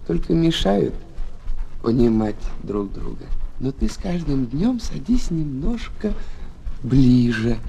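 A man playing a shy, gentle fox who longs for friendship, hesitant and tender, in a children's audio drama. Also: a little high, a little hiss or hum, rough recording. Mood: gentle, explaining. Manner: hesitant, tender, expressive explaining. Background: music.

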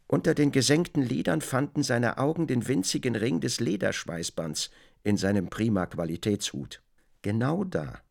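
Expressive male narrator with a creaky edge. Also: mid-range voice. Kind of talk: literary narration in a radio drama.

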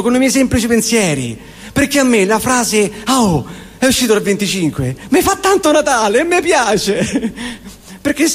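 A Roman man in his forties delivers a comic theatre monologue in a fairly high voice. He leans on repetition and deliberate pauses, and his words ring out with the long reverb of a live hall. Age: 40s. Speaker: stage performer.

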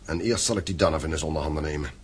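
A man's voice: extremely low pitch, racing, breathy edge. An actor in a radio play playing a stern, domineering middle-aged father who owns a mine, commanding and reproachful. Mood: stern, businesslike. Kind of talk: Dutch radio play acting.

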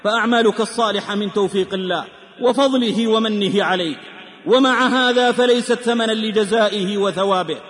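A man delivers a dramatic sermon in a very high-pitched voice. His manner is solemn and declamatory, full of emotive storytelling and exhortation, and every phrase rings out in long, hall-like reverb. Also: a little fast, a bit bright. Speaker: preacher.

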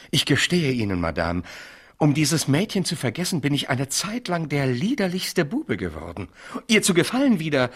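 A man playing a lovesick, impulsive French marquis in a German radio drama, theatrical, polite and halting. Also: mid-range voice, a bit bright, husky, exaggerated voice. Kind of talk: 1970s German radio play acting.

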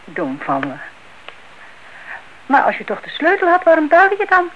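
An actress playing an anxious, apologetic older aunt in a radio play, hesitant and exclaiming, repeating herself. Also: female voice, very high voice, wildly swooping pitch, normal speed, full character voice. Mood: apologetic, fussing. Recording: dull, noisy old radio drama recording.

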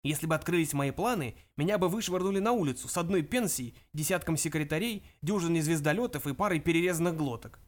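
A man's voice: fairly high pitch, quick pace, few pauses, lightly acted. A man voicing a flustered, flippant young great-grandson, a galactic adventurer, with a hesitant, fidgety, pleading manner in an audiobook. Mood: defensive.

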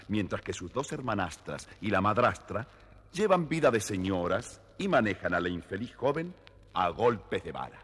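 A man, giving expressive scripted narration of a children's story. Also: fairly low pitch, husky. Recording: reverberant old record, faint noise.

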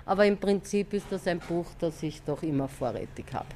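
A woman's voice: on the low side, lively intonation, fairly quick, mellow, a little hiss or hum. A woman bookseller answering interview questions in a firm, matter-of-fact way.

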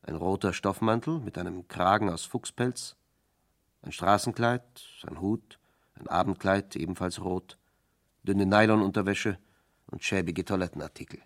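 Deep-voiced male radio crime narrator. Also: moderate pace.

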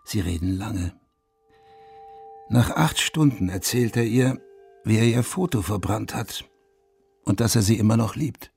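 Low, creaky haunted veteran storyteller. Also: male voice, unhurried, long pauses, darkish tone, heavily acted. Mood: reflective, haunted. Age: middle-aged. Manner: intimate, reflective storytelling. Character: reflective Vietnam veteran turned writer, haunted, in his forties. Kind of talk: German radio drama first-person narration. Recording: studio radio drama over guitar music.

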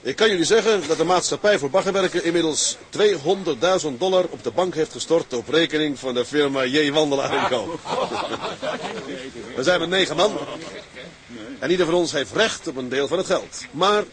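A man's voice: on the high side, moderate pace, crowd noise, natural voice. An actor in a Dutch radio drama playing a genial, plain-spoken sea captain who addresses his men in a businesslike way.

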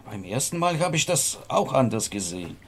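A man's voice: mid-range voice, very animated, moderate pace. An actor playing an agitated, insistent patient who is convinced that he is doomed, in a radio drama. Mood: exasperated. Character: agitated, stocky man convinced death pursues him. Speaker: therapy patient. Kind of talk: German radio drama acting.

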